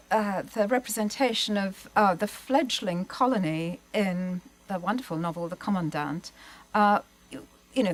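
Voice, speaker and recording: A woman speaking as a panellist, giving a prepared literary talk in an articulate, formal way with measured phrasing. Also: medium pitch, rough recording. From migrated to Australia, lived in Melbourne.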